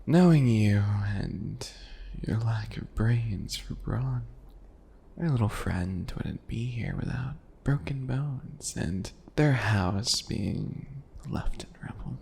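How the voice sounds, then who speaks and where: A man voicing a smug, teasing, obsessive bunny alchemist in an ASMR roleplay, taunting in a mocking, drawn-out, breathy way. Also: medium pitch, darkish tone, a little hiss or hum.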